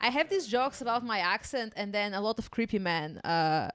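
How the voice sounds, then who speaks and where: A young woman speaks English with a clear Bulgarian accent, her voice smooth and resonant. She chats casually on a podcast and laughs often at her own stories. The studio sound is close and dry. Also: a little high, fast, dry recording. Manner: laughing often, self-deprecating and animated. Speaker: stand-up comedian guest.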